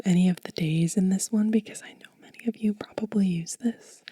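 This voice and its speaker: A woman hosting a soft-spoken ASMR show-and-tell, whispering gently and breathily at an unhurried pace. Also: medium pitch, husky, no room sound. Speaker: ASMR channel host.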